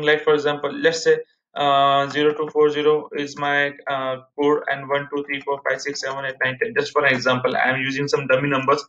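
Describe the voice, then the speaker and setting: Indian guy doing a step by step tech tutorial, highish voice, muffled echoey room. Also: pushed and bright. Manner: repetitive, step-by-step explaining, addressing viewers as guys. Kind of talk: casual screen-share tutorial.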